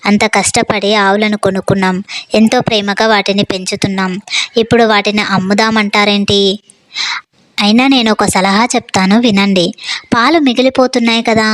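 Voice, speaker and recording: A woman acts out a supportive young wife in a storybook tale. She reasons gently in a mid-range voice. The recording is very dry. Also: medium pitch, steady tone, brisk, dry recording, natural voice, poor audio. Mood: reasoning. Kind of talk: acted moral-story dialogue.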